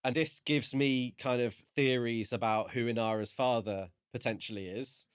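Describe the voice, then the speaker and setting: A man with a medium-pitched voice co-hosts a podcast in a casual, discursive style. He feels his way through thoughts in measured phrases. The recording is dry, with no room sound. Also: steady tone, smooth, no echo. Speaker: podcast co-host. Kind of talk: casual book discussion chat.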